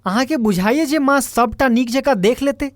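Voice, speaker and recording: A woman's voice: sits high, normal speed, dry recording, clean studio sound, pitched above the performer's own voice, lightly acted. A woman voicing a worried grown son and husband in a cartoon, questioning and protesting, with a Maithili accent. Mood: doubtful. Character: worried, reasoning adult son and farmer husband. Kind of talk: Indian cartoon dialogue.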